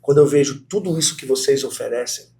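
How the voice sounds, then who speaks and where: A middle-aged Brazilian man, a guest professor, talks at a quick clip in a mellow, warm tone. His Brazilian Portuguese accent colours every story. He speaks animatedly and often turns to address the students directly. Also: mid-range voice. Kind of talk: conversational interview storytelling.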